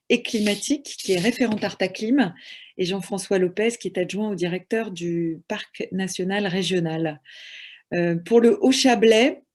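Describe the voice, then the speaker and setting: A woman with an ordinary mid-range voice leads an online round table. She pauses often with fillers as she introduces the panel. The sound comes through a video call. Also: medium pitch, a little mellow. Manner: hesitant, frequent fillers.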